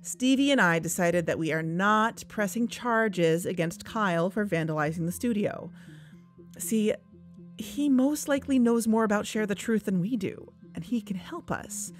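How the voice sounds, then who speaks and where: Faint music sits under a woman acting a female investigator who co-hosts a podcast. Her voice is medium in pitch. She tells the case in a conversational, earnest and confiding manner, with wry casual asides. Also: expressive, normal speed, close to an everyday voice. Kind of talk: conversational scripted audio drama narration. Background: background music.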